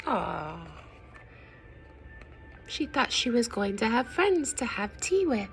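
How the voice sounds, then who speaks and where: Slow-paced woman reading a storybook to children. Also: fairly high pitch, gravelly, soft background music. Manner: expressive, simple explanations and questions for children. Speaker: teacher reading aloud to children. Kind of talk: storybook read-aloud with asides to young listeners.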